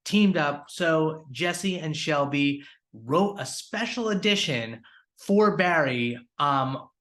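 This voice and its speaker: A man's voice: fairly high pitch, animated, slow pace, a bit bright. A man hosting a weekly industry podcast, recapping news in an upbeat, conversational way with fillers and restarts. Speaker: podcast host.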